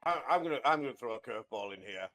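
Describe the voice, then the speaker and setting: A man's voice: mid-range voice, expressive, rapid-fire, a bit bright. A British man in a roleplay police meeting who talks bluntly and complains about a colleague, swearing freely. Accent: British English. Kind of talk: in-character roleplay meeting talk.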